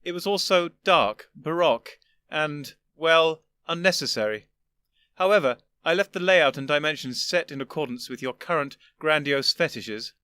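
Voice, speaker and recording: A man doing a condescending elderly gentleman. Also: a little high, lively intonation, normal speed, smooth, no room sound, clean studio sound, voice pushed up. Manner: precise, lecturing, wearily patient.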